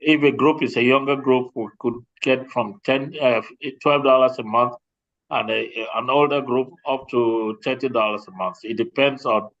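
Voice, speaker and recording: A man's voice: mid-range voice, fairly even intonation, normal speed, a bit bright. A man speaking as an insurance representative on a group call, explaining informally and often repeating himself as he goes. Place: remote online group call. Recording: dull, lo-fi call audio.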